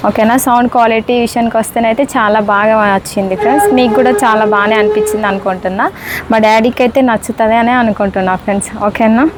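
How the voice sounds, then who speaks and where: A woman's voice: on the high side, steady tone, fast, noisy background. A woman with a Telangana Telugu accent doing a casual unboxing demonstration, often checking in with listeners as friends. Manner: repeatedly checks in with listeners, addressing them as friends. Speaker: vlogger presenting a product.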